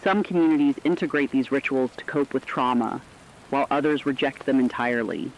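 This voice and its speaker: A man playing a sober radio broadcaster, reading a scripted post-catastrophe report in an earnest, measured way. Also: medium pitch, slight background noise, lightly acted, rough recording. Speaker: radio announcer.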